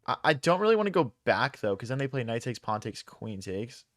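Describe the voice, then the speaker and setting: A young man talks through his chess moves live, tossing out slang and answering chat as he goes. His voice sits at a middle pitch, recorded close in a dry small room. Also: very animated, fairly bright tone, no room sound. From Philadelphia, USA. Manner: thinks aloud, casual slang, quick reactions to chat. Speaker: chess streamer. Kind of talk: casual live-stream commentary.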